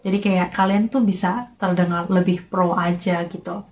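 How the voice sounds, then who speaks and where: A woman's voice: on the low side, fairly even intonation, moderate pace, a little hiss or hum. A young woman from Indonesia giving casual exam-prep tips in a vlog, chatty, with many fillers and English phrases mixed in. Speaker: vlogger.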